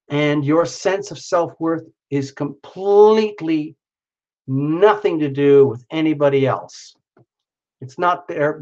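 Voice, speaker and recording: A man's voice: a little high, muffled recording. A man hosting a live stream, giving a casual, exuberant tarot reading and speaking straight to the viewer while losing his voice. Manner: exuberant, chatty, addresses viewer by name.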